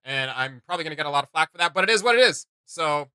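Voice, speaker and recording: A man's voice: on the high side, lively intonation, very fast, sharp tone, clean tone, dry recording. A man hosting a reaction video, giving casual, opinionated commentary in an emphatic, slangy way.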